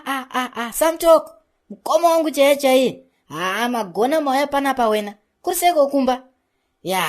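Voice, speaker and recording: Confrontational young cartoon neighbour, played by a woman: Zimbabwean, highish voice, bossy, haughty, easily offended. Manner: bossy, mixing slang with formal English. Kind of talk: animated comedy acting.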